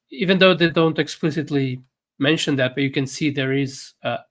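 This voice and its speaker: A man's voice: medium pitch, a bit bright. A man whose first language is Portuguese, co-hosting a casual livestream and talking hesitantly with many fillers. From Portuguese-speaking country. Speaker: co-host, runs online academy.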